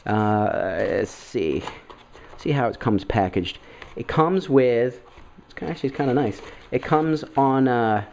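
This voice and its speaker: A man with a head cold and a nasal voice, talking casually as a video host while unboxing, halting often with fillers and clearing his throat. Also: mid-range voice, lively intonation, darkish tone, a little hiss or hum.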